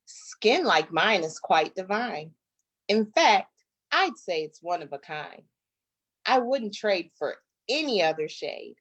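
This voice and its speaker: A woman from Michigan, a guest author, reading a picture book aloud to children in an expressive, drawn-out way. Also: medium pitch. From Flint, Michigan, United States.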